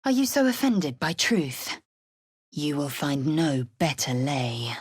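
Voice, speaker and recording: A proud, ruthless female githyanki warrior for a fantasy video game. Her husky voice sits fairly low and moves slowly and deliberately. She sounds imperious and disdainful, recorded very dry and close. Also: sing-song, no echo, exaggerated voice. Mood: haughty, dry. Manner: clipped, commanding, disdainful. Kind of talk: scripted video game character lines.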